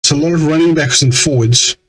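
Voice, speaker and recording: A man's voice: a little high, a little fast, a bit bright, low-quality recording. A man giving let's play commentary in an exasperated, grumbling way. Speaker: game streamer. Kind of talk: let's play commentary, reading game dialogue aloud.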